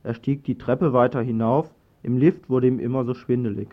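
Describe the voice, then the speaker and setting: A man's voice: medium pitch, fairly even intonation, normal speed. A man reading a scripted dystopian story as a radio narrator. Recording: dull lo-fi 1980s radio recording.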